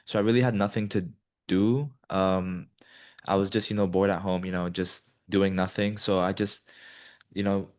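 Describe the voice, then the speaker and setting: A young man in his twenties, originally from Colombia, talks through his answers in a low, easygoing voice. He pads his sentences with ums and you-knows as he thinks out loud. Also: moderate pace. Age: 20s. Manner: many ums and you-knows, relaxed and rambling. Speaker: guest, business student. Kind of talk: casual interview answers.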